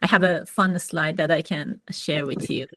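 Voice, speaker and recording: A woman's voice: sits low, moderate pace, a bit bright, slight background noise. A woman from Iran, a physicist, speaking hesitantly with many fillers on a video call. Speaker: physicist and mentoring programme founder. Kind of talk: online panel talk.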